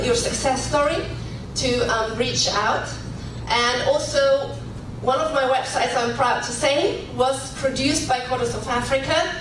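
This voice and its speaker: A woman making an impromptu comment from the floor at a conference. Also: high-pitched. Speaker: audience member, contributing writer. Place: large conference room. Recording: echoey hall, distant mic, noisy room.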